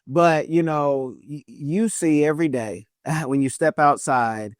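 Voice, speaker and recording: A man's voice: a little high, slow, no echo, clean studio sound. A man hosting a podcast, talking emphatically with joking asides while setting up his questions. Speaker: podcast host. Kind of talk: casual podcast interview.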